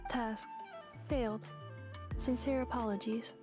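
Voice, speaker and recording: A young female robot maid in an anime game. She speaks in a fairly high voice, deadpan and formal, in short literal reports. Music is clearly audible behind her. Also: a little slow. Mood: detached.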